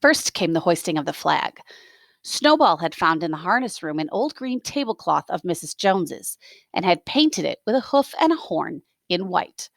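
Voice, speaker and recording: A woman's voice: fairly low pitch, normal speed, creaky, dry recording. Woman teacher reading aloud, dry close sound. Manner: expressive reading with explanatory asides. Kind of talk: scripted narration, reading a novel aloud to students.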